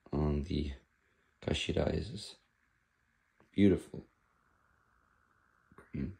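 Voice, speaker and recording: A man with a hoarse, fading voice, casually showing off a sword with frequent pauses and restarts. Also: low-pitched, warm tone. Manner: halting, with many fillers and restarts. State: hoarse, losing his voice. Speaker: sword dealer presenting a katana. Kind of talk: casual show-and-tell.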